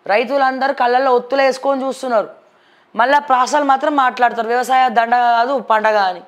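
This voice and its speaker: A woman with a Telangana accent presenting news headlines with informal, outspoken commentary and some English words mixed in. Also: high voice.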